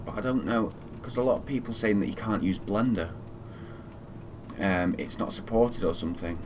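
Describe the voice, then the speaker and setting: Male game streamer, deep voice, low-key casual commentary, muttered procedures, noticeably noisy background. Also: normal speed. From Fiji. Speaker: flight simulator live streamer. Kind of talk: casual live gaming commentary.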